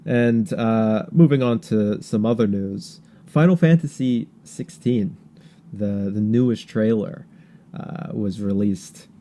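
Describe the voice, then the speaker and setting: Low-pitched, mellow man talking politics casually. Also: takes it slowly. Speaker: podcast host.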